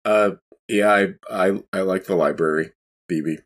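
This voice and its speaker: Man playing a deep-voiced, sheepish, stammering bookish guy. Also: moderate pace. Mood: nervous, sheepish. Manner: stammering, apologetic. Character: sheepish, nervous bookish man, eager to seem innocent. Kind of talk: tabletop game character dialogue.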